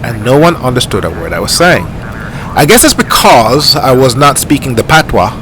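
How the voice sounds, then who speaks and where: A middle-aged Jamaican man talks through a simulated flight in an unhurried, rambling way, dropping "uh" and "you know" as he goes. His pitch sits in an ordinary middle range. A steady, clearly audible aircraft engine rumble runs under his voice. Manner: rambling, easygoing, many fillers. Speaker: flight sim streamer. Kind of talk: casual gameplay commentary.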